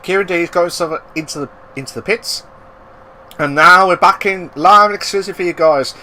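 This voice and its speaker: A man giving live racing commentary, excitable and bursting into loud exclamations at the action. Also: sits high, normal speed, a little hiss or hum, poor audio. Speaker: race commentator.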